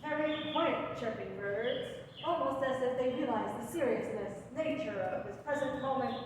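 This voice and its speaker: A woman's voice: high voice, normal speed, lightly acted. A woman playing a gushing press correspondent in a radio comedy, drawn out, flowery and mock-grave. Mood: gushing, stalling. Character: breathless gushing press reporter, stretching out flowery scene-setting. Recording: very echoey hall, distant, faint noise.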